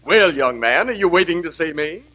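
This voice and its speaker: A pompous, silky dentist in a vintage radio comedy. He speaks in a lively, slightly high voice with dry, unbothered timing. The broadcast sounds muffled and dull. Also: moderate pace. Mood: deadpan smooth. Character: smooth, pompous, deadpan male dentist. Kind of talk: 1939 radio comedy acting.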